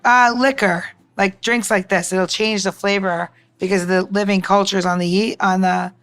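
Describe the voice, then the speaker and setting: A woman's voice: mid-range voice, steady tone, normal speed, a bit bright, smooth. Chatty woman bartender with music behind her. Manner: chatty, rambling, playful asides. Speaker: co-host and bartender. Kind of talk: casual cocktail recipe demonstration.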